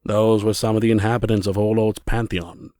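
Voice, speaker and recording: A man's voice: lowish voice, level tone, a little fast, mellow, no room sound, clean studio sound, soft background music. A man giving scripted narration as the narrator of a fantasy audio drama.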